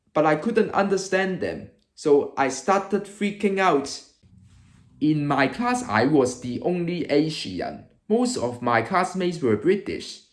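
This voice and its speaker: A man's voice: high voice, reverberant. A man in his early twenties with a Hong Kong accent, presenting an exaggerated, emphatic comedic monologue to camera as a video host. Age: early 20s.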